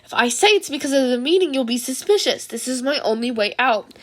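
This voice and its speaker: A dramatic teenage boy from an anime story played by a woman speaks in a high, anxious voice. He is fretful yet formal, as read in fan fiction. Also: lively intonation, brisk, heavy background noise. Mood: frustrated. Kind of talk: fan fiction audiobook character voice.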